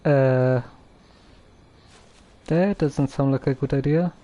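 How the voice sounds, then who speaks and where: A game streamer giving casual live commentary in a hesitant, halting, subdued way. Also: medium pitch, dark tone.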